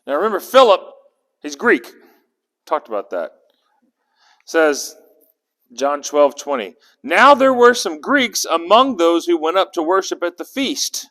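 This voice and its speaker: A man's voice: a little high, very animated, a little slow, pauses often, fairly bright tone. Man teaching scripture in a reverberant hall. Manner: conversational, asks the group questions, restarts words. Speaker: Bible teacher. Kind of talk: interactive Bible study teaching. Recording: long echo of a hall.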